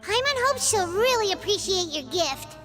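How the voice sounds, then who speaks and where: A woman's voice: extremely high pitch, lively intonation, normal speed, loud music behind the voice. A woman voicing a small, childlike and cheeky fairy companion in a video game, with an exclamatory delivery. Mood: chirpy, excited. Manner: exclamatory, refers to self in third person.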